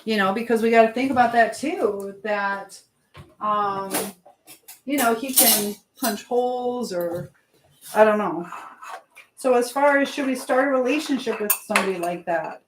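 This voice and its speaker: A woman's voice: a little high, a little slow, noisy background. A woman hosting a casual live chat in a chatty way, greeting viewers and reading out comments. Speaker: livestream host. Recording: roomy, echoey indoor sound.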